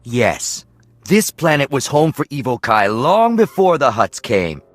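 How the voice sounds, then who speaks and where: A man's voice: mid-range voice, very animated, unhurried, dry recording, faint music behind. A man playing a proud, honor-bound alien warrior in a video game, formal, accusing and defiant.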